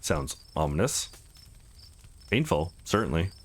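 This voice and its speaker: Man reading as a guarded, brooding, low-voiced guy. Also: sing-song, unhurried, slightly rough, full character voice. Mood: dry, joking.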